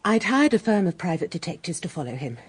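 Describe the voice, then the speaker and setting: An actress playing a genteel, proud widow under suspicion in a radio drama, speaking with a refined British accent and a husky, emotive delivery. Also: female voice, fairly low pitch, sing-song, fast, full character voice. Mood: defensive.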